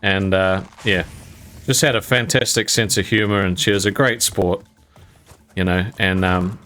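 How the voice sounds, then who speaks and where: A man's voice: sits low, fairly even intonation, unhurried, pushed and bright, faint music behind. A young man casually telling a story while gaming, rambling and laughing often, with some mild swearing. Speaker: gaming channel host.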